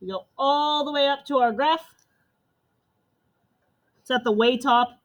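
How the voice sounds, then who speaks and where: A young woman with a loud voice, teaching a math class step by step. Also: sits high, measured pace. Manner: step-by-step explaining to students.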